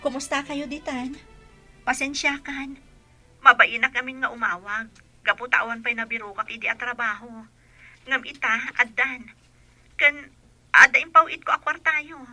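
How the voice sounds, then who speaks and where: A man's voice: very high voice, steady tone, normal speed, pauses often, bright, forceful tone, no room sound, pitched above the performer's own voice, exaggerated voice. A man voicing a caller from the city, heard over a phone line, talking in an apologetic, pleading way. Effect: telephone filter. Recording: dry, thin phone-line sound with faint music.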